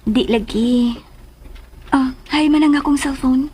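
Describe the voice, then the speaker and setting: A woman's voice: high voice, slow, darkish tone, slightly rough, exaggerated voice. A woman with a Cebuano accent playing a secretive, bossy and flirtatious lover in a radio drama. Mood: playful, hurried. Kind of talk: Filipino radio drama acting.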